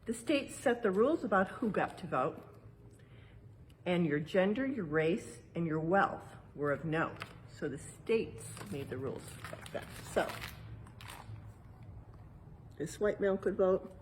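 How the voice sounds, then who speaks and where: A woman reading a picture book aloud in a museum exhibit like an educator, pausing for casual explanations and checking in with little tag questions. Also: lowish voice, expressive, slight background noise. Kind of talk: read-aloud storytime with casual explanatory asides.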